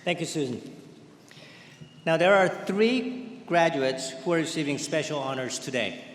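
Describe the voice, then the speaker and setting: A man giving a formal commencement speech in a measured, ceremonial way, speaking into a podium microphone in a large indoor venue. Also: a little high, lively intonation, a faint crowd. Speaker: ceremony presenter. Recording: echoey hall, faint ambience.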